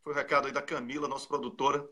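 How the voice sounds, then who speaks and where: A man's voice: mid-range voice, flat delivery, very fast, pushed and bright, husky. A man hosting a casual political talk show in an informal, joking, conversational way. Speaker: host.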